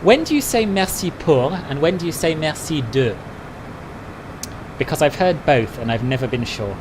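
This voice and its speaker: A man's voice: fairly high pitch, lively intonation, normal speed, noisy background. A man chatting casually on a live stream, answering viewers' messages one by one. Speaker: flight sim streamer.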